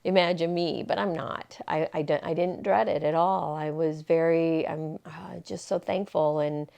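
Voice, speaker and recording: A woman's voice: low-pitched, steady tone, normal speed, creaky, darkish tone. A woman in her sixties talking casually to camera, rambling and reflective, with many fillers and restarts. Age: 60s. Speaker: vlogger. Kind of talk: casual personal talk to camera.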